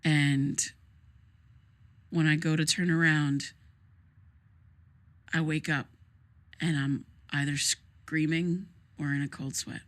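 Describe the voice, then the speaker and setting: An actress playing a troubled, grieving young woman in a naturalistic audio drama, speaking in a hesitant, halting, casual way. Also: low voice, flat delivery. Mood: uneasy. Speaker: therapy client in audio drama.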